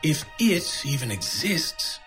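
A skeptical older male antiques dealer in an old time radio drama. He is weary and sardonic, with a fairly high, animated voice over music. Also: slow, heavily acted. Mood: exasperated, wary. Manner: grumbling, dry sarcasm, weary storytelling.

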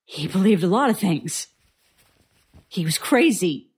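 A slow-spoken, haunted storyteller. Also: female voice, fairly low pitch, lively intonation, slightly rough. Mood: dismissive. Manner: slow, halting, hushed suspense. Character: haunted woman recounting frightening childhood memories to a doctor. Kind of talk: audio drama acting.

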